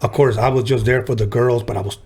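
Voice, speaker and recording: A man's voice: medium pitch, fairly even intonation, quick pace, darkish tone, breathy edge. A man in his fifties hosting a casual interview podcast, from the Los Angeles harbor area, telling laid-back, joking stories. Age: 50s. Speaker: podcast host.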